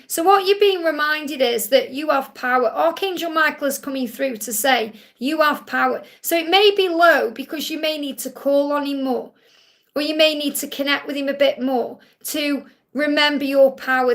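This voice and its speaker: A woman in her forties with a high, light voice, talking straight to her viewers on a live stream. She reads out their messages and answers with gentle, reassuring readings, drifting into a sing-song lilt on the affirmations. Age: 40s. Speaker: spiritual reader hosting a live Q&A.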